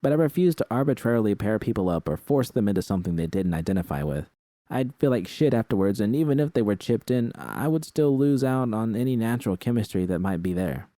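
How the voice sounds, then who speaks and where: A man's voice: fairly low pitch, big pitch swings, fast talker, dark tone, no room sound. A man reading a first-person story as a scripted narration. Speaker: audiobook-style narrator of fan fiction.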